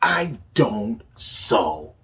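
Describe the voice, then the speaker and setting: A man's voice: fairly low pitch, big pitch swings, extremely slow, a bit bright, heavily acted. A man voicing a snooty male barnyard bird in a picture book, haughty and clipped.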